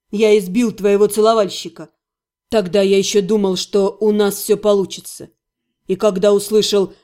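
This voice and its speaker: A woman narrator voices a young necromancer, a betrayed fiancé in a fantasy audiobook. His voice sits low and mellow. He speaks with cold restraint, deliberate and full of bitter reproach. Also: natural voice. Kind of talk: dramatized audiobook dialogue.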